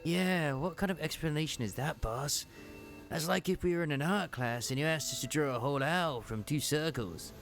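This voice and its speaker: A man putting on a cheeky junior sailor from a fantasy game story, pitched high and flustered. The sailor protests in casual, exclamatory complaints, with music behind. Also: moderate pace, gravelly, a bit bright. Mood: flustered, protesting.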